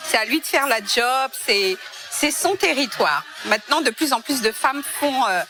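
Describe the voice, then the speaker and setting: A woman's voice: high-pitched, expressive, moderate pace, over music. A middle-aged woman with a French accent from France, a cheerful, flirtatious talk-show guest.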